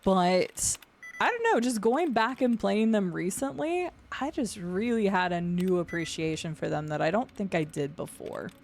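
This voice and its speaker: A young adult woman with a gravelly voice and deep vocal fry, chatting casually while streaming a game. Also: lively intonation, normal speed, a little mellow, a little hiss or hum. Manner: chatty, swears and laughs at the game. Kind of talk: casual live-stream chat while gaming. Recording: faint game music behind.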